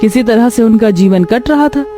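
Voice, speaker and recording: Mellow female story narrator. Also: on the high side, normal speed, soft background music. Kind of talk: scripted cartoon story narration.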